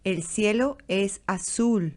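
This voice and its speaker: A woman speaking short example phrases clearly for learners to repeat. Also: mid-range voice, slow. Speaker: native-speaker model for pronunciation. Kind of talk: model phrase repetition.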